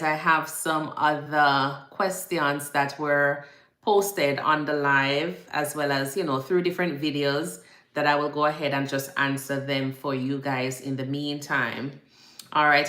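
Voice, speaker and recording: A woman's voice: sits low, sharp tone. A woman from Jamaica, a nurse, hosting a casual livestream and greeting viewers by name in a chatty, repetitive way. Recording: echoey room.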